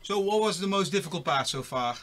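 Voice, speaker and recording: A man's voice: high-pitched, expressive, a little fast, a bit bright. A middle-aged man with a Dutch accent, chatting casually and rambling with many fillers while building a computer. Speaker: host.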